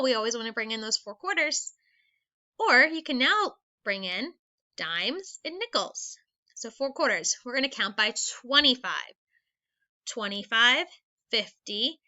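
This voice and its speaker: Lady teacher doing a kids read-aloud lesson, high bright voice, kinda dull screencast audio. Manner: instructional, counting out step by step. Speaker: elementary school teacher.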